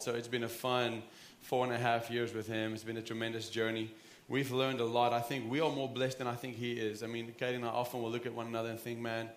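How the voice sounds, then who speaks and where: A man's voice: medium pitch, fairly bright tone. South African man preaching in an echoey church. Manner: earnest, conversational, frequent restarts. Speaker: pastor. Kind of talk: sermon. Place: church sanctuary.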